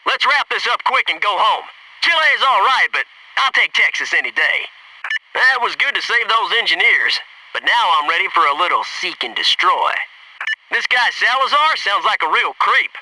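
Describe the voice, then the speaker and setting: Military game squadmate: a cocky young American SEAL, very sharp, forceful high voice, wisecracking and eager. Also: male voice, distant mic, slight background noise, heavily acted, rough recording. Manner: punchy, wry, eager banter and callouts. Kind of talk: video game military voice acting.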